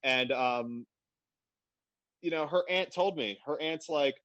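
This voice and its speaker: A man from Afghanistan talking bluntly and animatedly in a casual podcast conversation, quick to laugh. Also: fairly high pitch, a bit bright, dry recording, muffled recording. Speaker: podcast guest.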